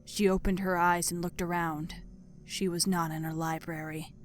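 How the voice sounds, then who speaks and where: A woman's voice: fairly low pitch, little pitch movement, normal speed. A woman reading scripted story narration as the narrator. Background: music.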